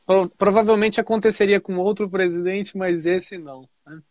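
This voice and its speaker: Relaxed man in his late thirties. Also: high voice, normal speed, dry recording. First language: Portuguese. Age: 30s. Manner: relaxed, many fillers like "né" and "ok". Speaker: co-host. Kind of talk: casual bilingual chat.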